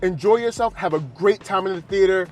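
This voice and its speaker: High-voiced, playful man. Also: a little fast. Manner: playful, excitable, repeats phrases for emphasis. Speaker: film reviewer and channel host. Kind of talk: casual, unscripted movie reaction chat.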